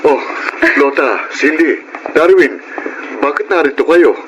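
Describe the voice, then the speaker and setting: A man playing a patient, struggling husband and father who speaks gently and pleadingly in a Filipino radio drama. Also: fairly high pitch, a little slow, highs cut off, natural voice. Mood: apologetic, relieved. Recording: noisy radio drama recording.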